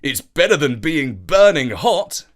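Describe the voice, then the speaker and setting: Guy as a big loud jolly uncle in a kids story, eager, pitched up and bouncy. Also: big pitch swings, measured pace.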